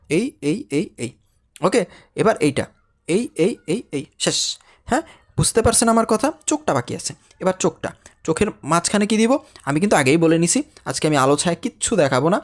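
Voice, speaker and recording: Bangladeshi man teaching, with lively swooping pitch. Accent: Bangladeshi Bengali. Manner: repeats words and asks check questions. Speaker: animation teacher. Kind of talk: informal step-by-step software tutorial.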